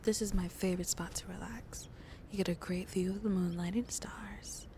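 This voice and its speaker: A woman playing a shy but playfully flirty vampire on a date in an ASMR roleplay, speaking softly and intimately and often slipping into breathy whispers. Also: medium pitch, steady tone, moderate pace, slight background noise, natural voice. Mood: romantic, teasing.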